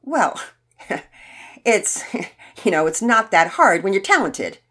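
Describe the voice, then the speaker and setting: Cocky young boy for a children's story, read by a woman: pitched high, brash, slangy and defensive. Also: sing-song, normal speed. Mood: evasive bragging. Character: cocky, boastful boy skateboarder, loud and defensive.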